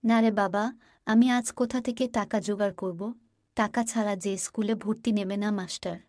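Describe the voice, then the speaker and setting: A woman playing a worried, exasperated poor village mother in a cartoon, plaintive and quick to scold. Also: mid-range voice, steady tone, darkish tone, no echo, clean studio sound, natural voice. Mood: weary, reluctant.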